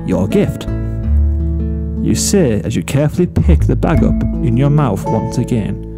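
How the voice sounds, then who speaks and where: A man narrating a scripted piece of fan fiction as an amateur story reader. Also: medium pitch. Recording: soft guitar music behind. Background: quiet plucked guitar music.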